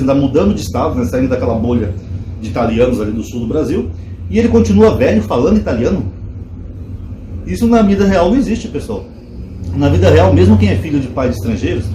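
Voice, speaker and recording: A man's voice: medium pitch, echoey room, slight background noise, poor audio. A man, chatting casually to his viewers and explaining his points with rhetorical questions. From Brazil. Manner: conversational, animated asides with rhetorical questions. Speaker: channel host.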